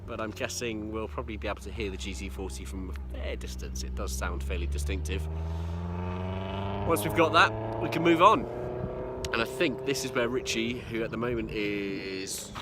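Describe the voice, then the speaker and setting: A man's voice: fairly low pitch, moderate pace. A man hosting a car-rally vlog outdoors, talking casually with dry understatement while congested with a cold. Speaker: vlog host. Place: outdoors on a mountain road with cars passing. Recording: loud outdoor traffic noise.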